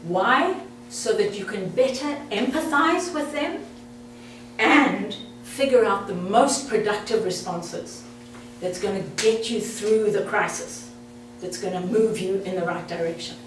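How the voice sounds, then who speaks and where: A woman's voice: mid-range voice, a little slow. South African woman presenter, friendly and chatty with the crowd, in a roomy hall well back from the mic. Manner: friendly, direct, chatty with the audience. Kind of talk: interactive talk to an audience. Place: meeting room. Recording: echoey room, far from the mic, faint hum.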